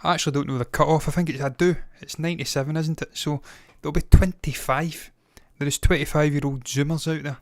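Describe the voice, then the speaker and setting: A man's voice: a little high, fairly even intonation, quick pace, hardly any pauses, no room sound. A man in his thirties hosting a movie news show, talking casually, with rambling opinionated asides. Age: 30s.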